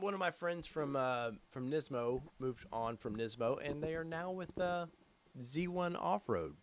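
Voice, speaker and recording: A middle-aged man hosting a casual live stream, reading out viewer comments with lots of fillers, his voice getting tired and worn. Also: mid-range voice. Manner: rambling, many fillers, reads chat aloud. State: losing his voice. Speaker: podcast host.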